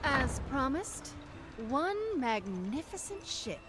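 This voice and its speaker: A woman's voice: sits high, sing-song, slow pace, over music, exaggerated voice. An actress playing a confident, teasing female pirate captain in a video game. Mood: playful. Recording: noisy ambient game soundscape behind.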